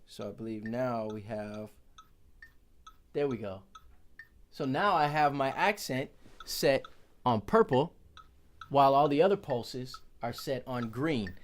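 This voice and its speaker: A man's voice: mid-range voice, a little hiss or hum. Chatty man reviewing a drumming gadget. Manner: chatty, self-correcting, joking asides. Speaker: percussionist reviewing a metronome. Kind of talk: casual product review. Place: his office.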